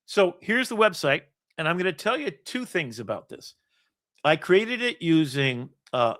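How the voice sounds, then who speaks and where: A man's voice: on the high side, a bit bright. A man in his sixties hosting a show, casually walking through a screen-shared document in a rambling, self-deprecating way with the odd curse. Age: 60s. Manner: rambling, self-deprecating, swears now and then. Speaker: host. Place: home setup with an iPad.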